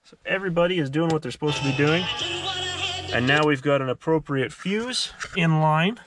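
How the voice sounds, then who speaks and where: A man's voice: fairly high pitch, normal speed, music in the background. A man narrating a casual hands-on DIY tutorial in a methodical, explanatory way. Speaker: host of a DIY car repair tutorial.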